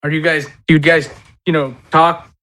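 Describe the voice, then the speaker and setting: Male tabletop player, higher register, crisp bright tone, casual banter. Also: little pitch movement, moderate pace.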